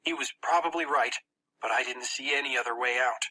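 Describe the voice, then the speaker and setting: Very bright, forceful dramatic audiobook narrator. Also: medium pitch, fairly quick. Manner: tense, expressive storytelling. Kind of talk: dramatic first-person scripted narration.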